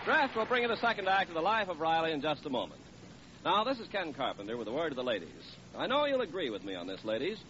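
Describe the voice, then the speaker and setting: A man's voice: a little high, a bit bright. A man working as a 1940s radio announcer with a polished, emphatic salesman's delivery. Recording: muffled, faintly hissy vintage radio.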